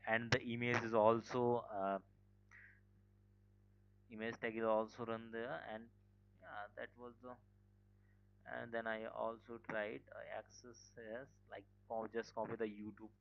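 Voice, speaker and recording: A man's voice: mid-range voice, smooth. A man teaching a live online security class, informal, full of fillers and "okay". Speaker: cybersecurity instructor. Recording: dull lo-fi call audio.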